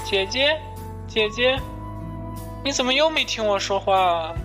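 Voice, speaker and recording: A woman's voice: a little high, animated, measured pace, over music. Woman voicing a boyish, sickly younger brother. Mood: cheeky. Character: sick, cheeky younger brother, a youth clinging to life. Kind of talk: Chinese audio drama acting.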